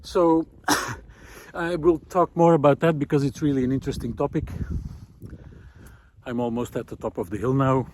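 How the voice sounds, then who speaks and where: A man with a mid-pitched voice talks reflectively while walking outdoors. His delivery is thoughtful and halting, with pauses as he searches for words. Faint outdoor noise sits behind him. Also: a little mellow. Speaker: host of a walking video series. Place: outdoors on a country road in hilly farmland. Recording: outdoor recording with clear background noise. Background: outdoor ambient noise.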